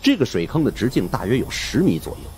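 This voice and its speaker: A man's voice: medium pitch, very animated, fast talker, darkish tone, a little hiss or hum. A man narrating a horror story in a suspenseful, theatrical way. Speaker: audiobook narrator.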